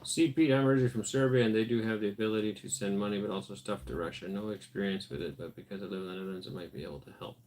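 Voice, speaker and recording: A man with a somewhat low voice hosts a late-night homestead livestream, tired and unhurried. He reads viewer comments aloud and answers in a relaxed, thinking-aloud way. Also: slight background noise. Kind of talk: casual livestream chat, reading viewer comments.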